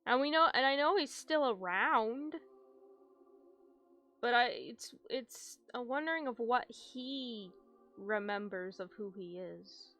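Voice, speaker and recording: A woman livestreamer reacting casually to a game, speaking in a halting, emotional way. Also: fairly high pitch, expressive, a bit bright. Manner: halting, emotional, swears freely. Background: game music.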